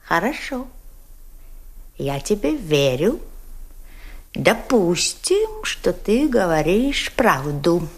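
An actress playing a falsely sweet German woman interrogator, coaxing and wheedling with drawn-out theatrical phrasing. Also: lowish voice, huge pitch swings, slightly rough, exaggerated voice. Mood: sly, wheedling. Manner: syrupy, drawn-out, theatrical phrasing with repeated soft words. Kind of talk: dramatic acting.

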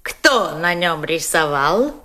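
An actress playing a scheming female German interpreter who talks in a sugary, condescending way that turns sharp. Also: fairly low pitch, sing-song, takes it slowly, background noise. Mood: sly interrogating. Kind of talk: film drama acting.

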